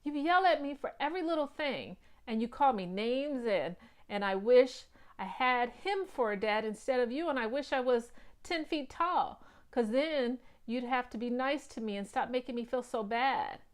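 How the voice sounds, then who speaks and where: A woman's voice: medium pitch, sing-song, a little slow. A woman reading the lines of a young boy who is hurt and wants his father's love. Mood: angry. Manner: pleading, heartfelt.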